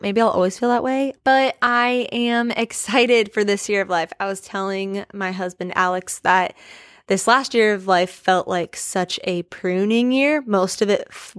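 A woman's voice: mid-range voice, a little slow, no room sound. Earnest young woman, dry close sound. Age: 20s. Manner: earnest, prayerful, reflective. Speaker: podcast host. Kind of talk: devotional podcast monologue.

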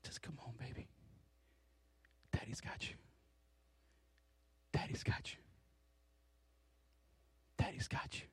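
A man voicing a tender, fatherly male God who softly pleads with his son to come home. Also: slow, pauses often, no room sound. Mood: tender, pleading. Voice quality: soft and whispery. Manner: pleading, gentle. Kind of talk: acted quote within a sermon.

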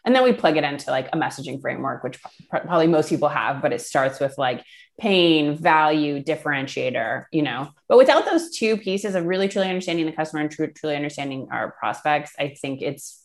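A woman with a low-pitched voice speaks as an interview guest. She explains her points in an informal, conversational way, often dropping in "you know" and "kind of" as she goes. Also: expressive, fairly quick. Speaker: guest, product marketing manager. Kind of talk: conversational podcast interview answers.

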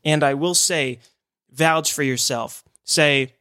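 An earnest man who works with hearing patients gives an encouraging podcast explainer. His voice sits a little high for a man. The sound is dry and close, with no room echo. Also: slow, pushed and bright, smooth. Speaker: hearing care professional. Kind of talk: educational podcast talk.

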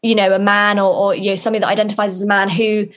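A woman's voice: medium pitch, level tone, very fast. Chatty young British woman, casual. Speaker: podcast co-host. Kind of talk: casual conversational chat.

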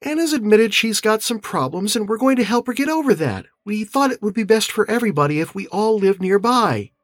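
A man's voice: sits high, lively intonation, a little fast, no room sound, studio quality, voice pushed up. A man voicing an indignant, sour woman with a strident, incredulous manner in an audiobook. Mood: sour, explaining. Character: well-off middle-class woman, indignant and sour.

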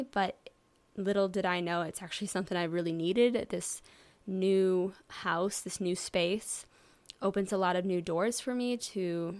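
A woman in her mid-twenties talks on her own podcast in a loose, rambling way. She speaks at an everyday middle pitch and fills her talk with likes and you knows. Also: gravelly. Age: 20s. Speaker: podcast host. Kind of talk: casual solo chat.

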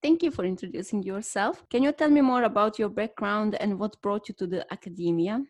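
A woman's voice: mid-range voice, a little fast. A woman speaking as a podcast host, introducing and interviewing in a prepared way. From Serbia. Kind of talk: scripted introduction and interview questions.

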